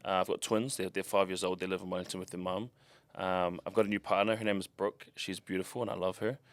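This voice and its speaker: An easygoing man in his twenties with a New Zealand accent chats and jokes. His voice is on the low side. The recording is dry and close. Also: flat delivery, fast talker, no echo. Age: 20s. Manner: easygoing, joking. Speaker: podcast guest, rugby player. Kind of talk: casual chat.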